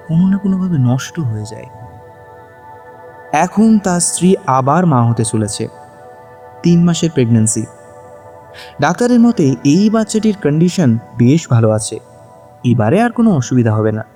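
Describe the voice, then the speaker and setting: A man's voice: a little high, dark tone. A man narrating a scripted audio story in a dramatic storytelling style.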